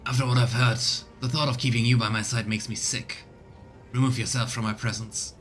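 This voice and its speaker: A man's voice: mid-range voice, fairly even intonation, fairly quick, faint music behind. A man giving casual let's play commentary and reading game dialogue aloud, with exasperated, sarcastic asides.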